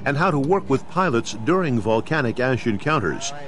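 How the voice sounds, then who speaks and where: An American male narrator reads a training script with formal, measured delivery. His pitch is mid-range and moves in a lively way. Some noise sits behind him, as on an old 1990s videotape.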